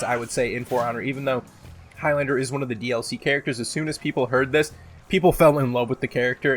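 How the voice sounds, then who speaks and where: Music plays under a man hosting a casual gaming countdown. He speaks at an ordinary male pitch with a sore throat, rambling along unscripted in slangy, sweary language. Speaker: video host, gaming commentator. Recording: loud music behind the voice.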